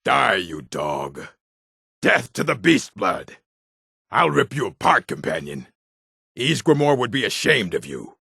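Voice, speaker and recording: An actor playing a gruff, scornful male orc in a fantasy video game, with a rough voice and a weighty, emphatic delivery. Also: big pitch swings, unhurried, pushed and bright, dry recording, clean studio sound, heavily acted. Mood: menacing. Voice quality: deep, gravelly, rough.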